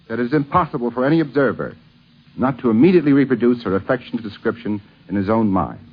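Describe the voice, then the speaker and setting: Animated husky man on vintage radio. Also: mid-range voice. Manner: formal, declamatory. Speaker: speaker paying tribute to an actress. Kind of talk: scripted tribute on 1950s radio. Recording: dull vintage radio recording.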